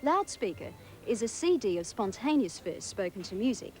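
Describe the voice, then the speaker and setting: A woman's voice: medium pitch, sing-song, normal speed. Female arts presenter, Australian, scripted voice-over, medium register, light music bed. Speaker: presenter and narrator. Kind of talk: scripted arts documentary narration.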